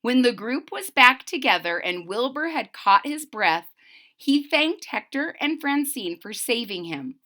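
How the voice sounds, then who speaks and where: A woman reading a picture book aloud to children in a dramatic, animated way, stopping to explain things. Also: a little high, a little slow. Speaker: children's story reader. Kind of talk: expressive read-aloud with explanatory asides to children.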